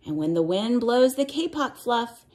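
Slow, higher-voiced woman reading to children. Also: very animated. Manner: sing-song cumulative verse, gentle questioning of young listeners. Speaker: read-aloud storyteller for children. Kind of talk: reading a picture book aloud, with simple explanations for children.

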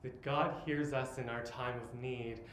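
A man in his twenties performing an autobiographical spoken-word monologue on stage, with dramatic, deliberate phrasing and long pauses. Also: medium pitch, steady tone, fairly bright tone. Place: live on stage in a theatre. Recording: echoey theatre, live stage recording, background noise.

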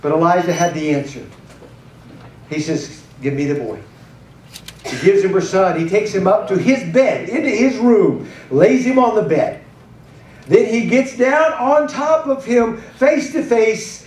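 A middle-aged man preaching with lots of energy, his voice sitting high for a man. He moves about a church stage with a handheld mic. The sound is roomy and a little distant, caught from across the hall. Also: a little hiss or hum. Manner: animated preaching, gesturing and pacing the stage. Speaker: pastor. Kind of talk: sermon.

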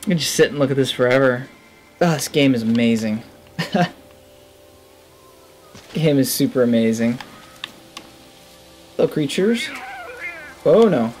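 A man's voice: medium pitch, expressive, measured pace, pauses often, darkish tone, soft background music. A man in his thirties giving casual, enthusiastic let's play commentary, musing to himself as he plays. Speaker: gaming video host. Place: indoor room at a desk.

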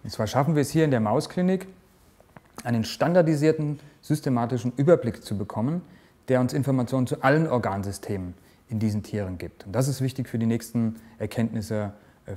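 Earnest man explaining research, mellow voice. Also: medium pitch, fast talker. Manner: earnest, explanatory, with fillers and restarts. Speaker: research director. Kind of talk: interview statement. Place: office with microscope, indoors. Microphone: lapel mic.

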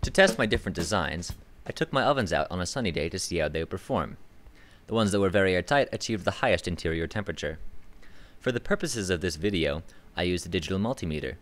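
A young man giving a scripted instructional voice-over with a clear, even, explanatory delivery. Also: sits low, quick pace, a little hiss or hum. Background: banjo music.

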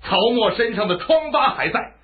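A man's voice: very high-pitched, lively intonation, moderate pace. An actor playing a loyal, battle-scarred general addressing his lord, earnest, formal and emphatic, in a Chinese historical radio drama. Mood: resolute. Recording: muffled, phone-like lo-fi radio drama audio.

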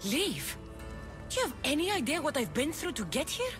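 A defiant young woman mercenary in a game cutscene. She is blunt and assertive, her pitch moving freely, with music behind her. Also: huge pitch swings, moderate pace. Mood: pleading, urgent. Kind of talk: video game cutscene acting.

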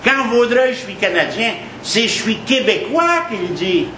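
A man's voice: sits high, big pitch swings, unhurried, pushed and bright. Emphatic Québécois man lecturing in a café. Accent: Quebec French. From Quebec. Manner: emphatic, conversational, repeats points for weight. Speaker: history lecturer. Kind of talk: informal history talk to a café audience. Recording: echoey café, noisy background.

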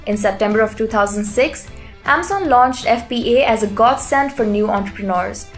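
A woman reading a scripted explainer narration in an even, polished voice-over. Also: fairly high pitch, normal speed. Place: voice-over over stock footage. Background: soft background music.

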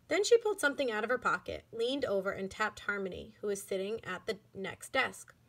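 A woman reading a children's book aloud like a schoolteacher, in an expressive, deliberate manner. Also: medium pitch. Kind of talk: children's storybook narration.

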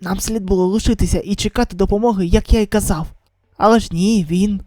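A woman's voice: medium pitch, steady tone, fast, dark tone, dry recording, clean studio sound, pitched below the performer's own voice, lightly acted. A woman voicing a curt, cool-headed, commanding man in a manga dub. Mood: bitter. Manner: curt, blunt.

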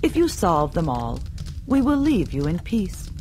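A woman voicing a stern male messenger from an emperor, speaking in a formal, measured way. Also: fairly low pitch, huge pitch swings, warm tone, a little hiss or hum. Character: stern male imperial envoy posing riddles.

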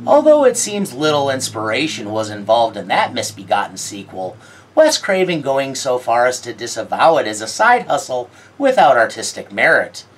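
A low, husky woman's voice swoops widely up and down as she delivers scripted horror film commentary. Her tone is wry and sardonic, with a theatrical lilt on her asides. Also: big pitch swings, normal speed. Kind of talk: scripted video-essay commentary.

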